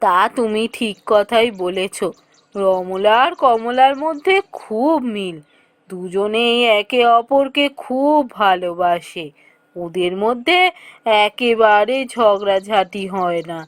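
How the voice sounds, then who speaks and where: A woman voicing a calm, agreeable middle-aged village mother in a cartoon fairy tale, speaking in a slow, measured way. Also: mid-range voice, no echo, pitched below the performer's own voice, natural voice. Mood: pleased, agreeable.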